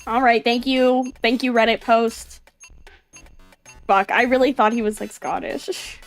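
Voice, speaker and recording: High-voiced woman chatting on a livestream. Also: steady tone, a little slow, faint music behind. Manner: playful, mimics British accents, swears casually. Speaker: livestreamer reacting to videos. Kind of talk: casual livestream chat.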